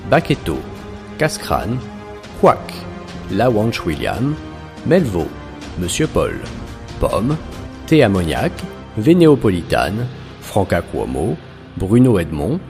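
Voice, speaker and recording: A man with a low voice announces scripted credits. His pitch moves up and down in a lively way, the pace is slow and measured, and music plays clearly behind him.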